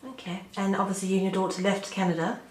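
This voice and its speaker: A woman's voice: mid-range voice, level tone, fast. A woman interviewer who introduces the guest and asks brief, prompting questions, recorded in an echoey room.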